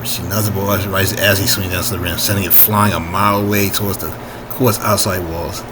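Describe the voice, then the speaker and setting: A man reading his own story informally, haltingly, with asides and frequent rereading to correct himself. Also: low voice, level tone, noisy background.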